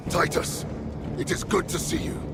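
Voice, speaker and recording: An actor playing a stern, commanding veteran warrior lord in a video game, speaking in formal, imperious orders. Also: male voice, moderate pace, natural voice. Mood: resolute. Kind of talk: dramatic video game acting. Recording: echoey, under loud music.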